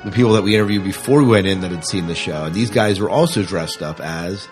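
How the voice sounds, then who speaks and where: A man's voice: sits low, quick pace, faint music behind. A man co-hosting a podcast, chatting casually about films. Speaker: podcast co-host. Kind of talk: casual film review chat.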